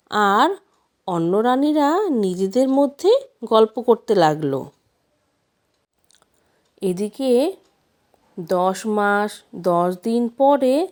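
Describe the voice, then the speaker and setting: A woman narrating a scripted fairy tale in an expressive storybook manner, with deliberate pauses. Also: on the high side.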